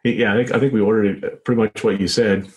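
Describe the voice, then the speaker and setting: An American man speaking as an author being interviewed, answering casually and haltingly with lots of hedging filler phrases. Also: fairly low pitch, steady tone, a little mellow. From United States. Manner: halting, frequent hedging with "you know" and "sort of". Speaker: author and journalist, interview guest. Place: home office set up as a small studio.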